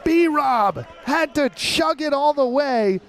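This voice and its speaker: A man's voice: very high-pitched, expressive, slow pace. A young American man calling baseball live as a radio play-by-play announcer, in clipped, descriptive phrases. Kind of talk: live sports commentary.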